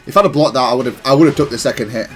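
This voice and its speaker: A man giving casual, analytical commentary on his own gameplay, self-critical but matter-of-fact and even-tempered. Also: mid-range voice, very fast, soft background music. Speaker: gaming content creator commentating on own matches.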